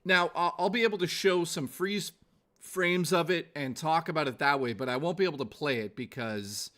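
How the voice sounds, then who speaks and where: A man's voice: on the high side, moderate pace, fairly bright tone. A man in his forties chatting casually to viewers as a gaming livestreamer, informal and exclamatory, with some swearing. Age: 40s.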